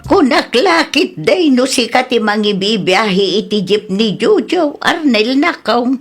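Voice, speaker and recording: A woman's voice: fairly high pitch, sing-song, measured pace, faint music behind. A woman with an Ilocano accent playing a principled, scolding wife who frets about fairness in a comedy radio drama. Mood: reproachful.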